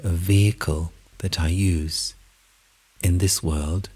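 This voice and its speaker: Very deep, husky man guiding meditation slowly. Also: fairly even intonation, mellow. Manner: measured, deliberate, with long pauses between short phrases. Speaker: meditation guide. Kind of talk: guided meditation narration.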